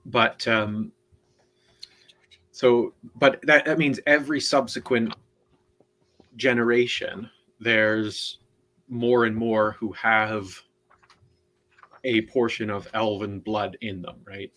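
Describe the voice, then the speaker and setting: Low-voiced guy slowly explaining lore, lots of restarts. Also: fairly even intonation, bright, forceful tone. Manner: halting and explanatory, many restarts and "right?". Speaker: co-host and panelist. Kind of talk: casual online book discussion.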